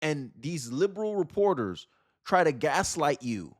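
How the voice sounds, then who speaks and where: Animated high-pitched man commentating. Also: a little slow. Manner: emphatic, repeats phrases, rhetorical questions. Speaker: political commentary host. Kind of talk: casual opinionated commentary to viewers.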